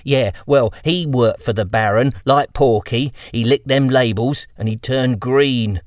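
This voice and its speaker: A man's voice: mid-range voice, a little slow, no room sound, studio quality, close to an everyday voice. A man voicing a grubby, cheeky young lamplighter boy with a chirpy, slangy way of talking.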